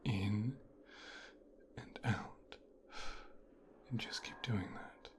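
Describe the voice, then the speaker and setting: A man performing an ASMR roleplay as a teasing, commanding mafia boss's son, speaking softly and often whispering close to the microphone. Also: lowish voice, drawn-out words. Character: mafia boss's son giving a teasing, domineering medical exam.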